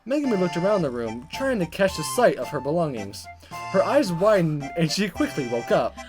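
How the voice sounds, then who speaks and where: Someone reading a visual novel out loud, really animated, stumbles a bit, game music behind. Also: medium pitch, normal speed. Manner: stumbles over lines, chuckles while reading. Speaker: gaming video narrator. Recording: music under the voice.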